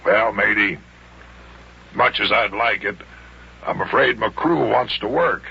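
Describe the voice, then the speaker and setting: An actor voicing a gruff old sea captain in an old-time radio serial, raspy, with salty, drawn-out sailor talk. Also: male voice, on the low side, bright, forceful tone, heavily acted. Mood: hearty. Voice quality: gravelly, raspy. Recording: muffled old radio broadcast.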